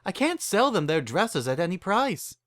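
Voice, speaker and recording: A narrator voicing a refined, earnest female pony with a genteel, measured and composed manner. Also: medium pitch, big pitch swings, no room sound, studio quality, voice pushed up, lightly acted. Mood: worried, apologetic.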